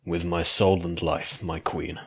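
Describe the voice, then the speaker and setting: A loyal male earth pony from a fantasy story voiced by a man. He mutters in a low register, quiet and sombre. His manner is humble and deferential. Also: little pitch movement, unhurried, creaky, husky, full character voice. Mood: solemn, devoted. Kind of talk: dramatic fan fiction reading.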